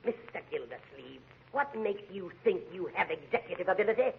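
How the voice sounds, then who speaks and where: An actor with an American accent playing a crotchety, imperious judge in a 1940s radio comedy. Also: male voice, high voice, expressive, fairly quick, creaky. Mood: stern. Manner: imperious, scolding, sarcastic. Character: crotchety, easily offended male judge ruling his courtroom. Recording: muffled, noisy 1940s radio recording.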